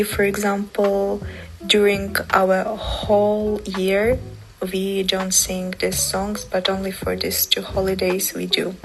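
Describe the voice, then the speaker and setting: A woman in her twenties from Ukraine, speaking English, answering interview questions carefully, pausing and restarting words. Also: mid-range voice, rough recording. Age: 20s. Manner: careful non-native English with pauses and restarts.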